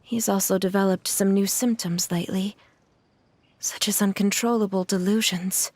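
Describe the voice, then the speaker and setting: A woman playing a gentle, genteel mother and employer in a video game, speaking politely and in measured phrases over music. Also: medium pitch, steady tone, lightly acted. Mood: wistful, explanatory. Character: refined, gentle, courteous mother and wealthy employer.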